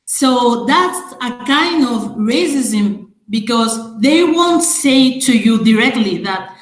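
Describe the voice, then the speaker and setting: A woman with a Madrid Spanish accent, speaking in a webinar and pausing often with fillers. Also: on the high side, lively intonation, reverberant, poor audio. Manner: slow, hesitant, many fillers. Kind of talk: webinar talk in English.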